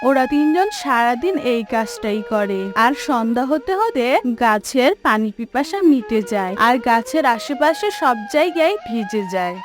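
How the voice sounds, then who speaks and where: A woman's voice: on the high side, lively intonation, normal speed, over music. A woman narrating a scripted children's cartoon story.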